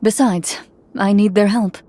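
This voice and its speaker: Actress as a composed woman warrior, mid-pitched. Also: fairly even intonation, measured pace, dark tone, a little hiss or hum, lightly acted. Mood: calm, assured. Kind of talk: video game voice acting. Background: game music.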